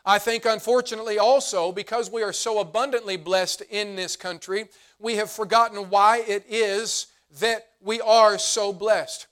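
A man preaching a sermon as pastor, his voice hoarse and fading, earnest, slowing down for emphasis. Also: high voice, steady tone, a bit bright. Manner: earnest preaching, slows and drops to emphasize points.

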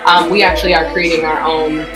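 A woman's voice: low voice, flat delivery, brisk, over loud music, rough recording. A woman chatting on a podcast as a guest, upbeat and loose with lots of fillers. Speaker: guest, ministry co-founder. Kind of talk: casual podcast conversation.

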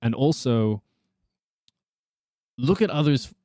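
Husky soothing-voiced South African man. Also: medium pitch, expressive, slow pace, warm tone, no echo, studio quality. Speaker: actor and voice actor. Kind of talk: casual podcast chat.

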